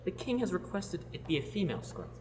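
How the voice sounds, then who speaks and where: A man's voice: sits high, huge pitch swings, quick pace, darkish tone, a little hiss or hum. Guy doing a bossy know-it-all knight, swoopy voice, lots of reverb. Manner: bossy and self-assured. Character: arrogant, pious young knight. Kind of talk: student radio drama acting. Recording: long reverb.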